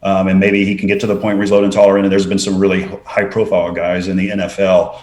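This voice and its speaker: A man's voice: deep voice, monotone, fast talker, a little mellow. A man from West Texas with a Texan accent, a guest expert giving detailed, science-heavy explanations with frequent restarts. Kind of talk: explanatory interview talk. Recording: roomy echo.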